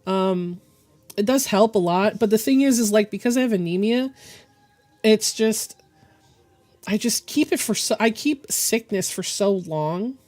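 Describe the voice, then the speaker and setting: Hoarse sick woman with a rough voice. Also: medium pitch, a little mellow. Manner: halting, rambling, with frequent throat clearing. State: hoarse and deepened from bronchitis. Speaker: digital art streamer. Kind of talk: casual live-stream chat.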